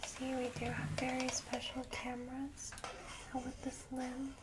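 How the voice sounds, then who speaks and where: Whispering woman, gentle ASMR vampire guide. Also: high voice, completely flat delivery, very noisy. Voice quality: breathy unvoiced whisper. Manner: gentle, soothing, slow reassurance. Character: friendly, caring old-fashioned female vampire guide.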